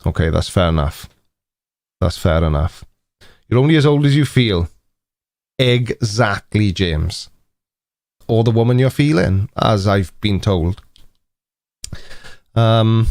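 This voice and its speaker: A man's voice: medium pitch, a little mellow, no echo. A man in his forties with a Welsh accent, hosting a casual live stream chat in a dry, teasing, mock-indignant manner. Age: 40s.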